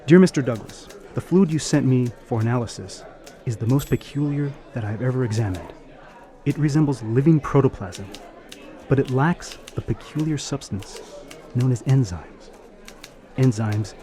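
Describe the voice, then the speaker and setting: Actor as an emphatic, mellow-voiced police detective. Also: male voice, mid-range voice, close to an everyday voice. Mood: emphatic, astonished. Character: brisk, puzzled male police detective sergeant. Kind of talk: radio drama acting.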